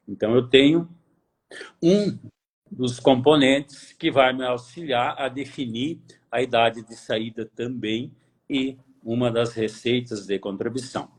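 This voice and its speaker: Male auditor giving a lecture, slightly raspy voice, steady explainer who rephrases and answers his own questions. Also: mid-range voice. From Brazil. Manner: rhetorical questions, pauses to reformulate. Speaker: pension auditor.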